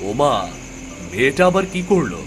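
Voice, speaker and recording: A man's voice: mid-range voice, big pitch swings, moderate pace, faint music behind, lightly acted. A man voicing an easygoing, indulgent village father and husband in a moral-story cartoon, casual and dismissive of worries.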